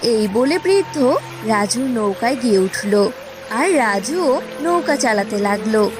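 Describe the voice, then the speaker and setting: A woman's voice: fairly high pitch, expressive, a little slow. A woman narrating a scripted storybook tale for a cartoon. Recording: music behind the voice.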